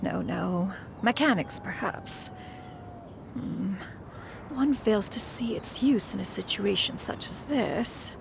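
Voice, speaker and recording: A woman's voice: mid-range voice, lively intonation, takes it slowly, vocal fry, background noise, exaggerated voice. A woman playing a proud, formal immortal master in a video game, fussy yet caring, with haughty, archaic phrasing. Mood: pondering, confident. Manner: haughty, archaic, refers to self as one.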